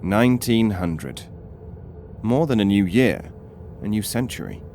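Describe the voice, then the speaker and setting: An actor playing a troubled, disdainful Victorian doctor in an audio horror drama, speaking in a brooding, formal way. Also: male voice, lowish voice, very animated, measured pace, darkish tone, recorded from a distance, a little hiss or hum. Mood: reflective.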